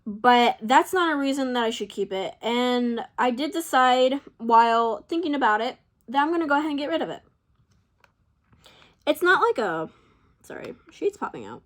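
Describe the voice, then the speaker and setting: Young woman vlogger, slightly high, chatty and rambling, voice worn from long talking. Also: animated, moderate pace. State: losing her voice. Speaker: beauty vlogger. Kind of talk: casual chat to camera.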